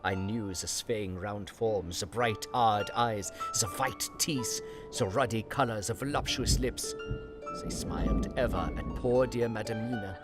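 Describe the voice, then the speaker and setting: An actor playing an earnest, God-fearing aging vampire hunter, narrating his diary solemnly in halting old-fashioned English. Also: on the low side, close to an everyday voice. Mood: haunted, solemn. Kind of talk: dramatic diary narration in an audio drama. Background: guitar music.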